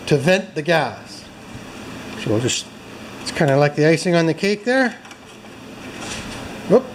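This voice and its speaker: A man's voice: a little high, a little mellow, slight background noise, low-quality recording. A man hosting a workshop video, chatting casually and joking with viewers while he works in a garage. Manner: chatty, self-mocking, talks to viewers while working.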